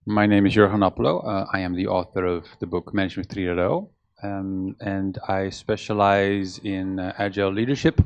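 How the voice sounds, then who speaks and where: A man introducing himself on a conference panel in a measured, deliberate way. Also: low-pitched, steady tone, dry recording, lo-fi sound. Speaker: author and panelist.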